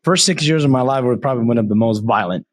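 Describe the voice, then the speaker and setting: A man's voice: medium pitch, fast, no echo. A man in his thirties from Iraq, a co-host, telling a personal story in an earnest way. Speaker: podcast co-host. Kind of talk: casual podcast interview.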